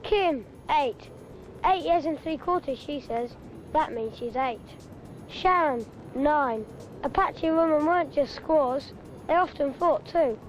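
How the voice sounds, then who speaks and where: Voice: high-pitched, faint music behind, poor audio. A young performer playing a proud, blunt child narrator in a 1970s public information film. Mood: proud, matter-of-fact. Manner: blunt, unhurried. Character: matter-of-fact child narrator proudly playing Apache chief.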